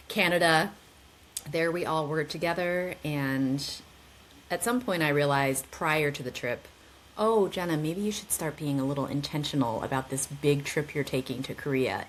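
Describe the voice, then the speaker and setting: A woman's voice: low-pitched, normal speed. A woman in her forties, a guest chatting casually and animatedly with rambling asides. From United States. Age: 40s. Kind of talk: casual conversation.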